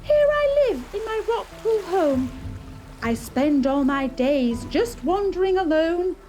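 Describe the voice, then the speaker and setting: A woman's voice: very high-pitched, sing-song, slow pace, over music, voice pushed up, exaggerated voice. A woman voicing a lonely, lovesick crab for a puppet show, speaking plaintively in rhyming verse. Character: lonely, lovesick crab living in a rock pool. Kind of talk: puppet show character acting.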